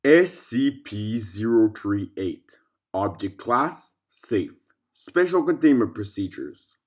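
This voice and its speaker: A man reading a scripted fictional case file aloud as narrator, in a formal, measured way. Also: lowish voice, big pitch swings.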